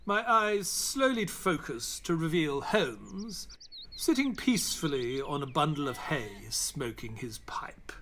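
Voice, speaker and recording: A man's voice: on the high side, big pitch swings, slow, background noise. An actor playing an earnest, weary male companion to a detective, questioning and reflective, in an audio play. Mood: calm storytelling. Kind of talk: audio play acting and narration.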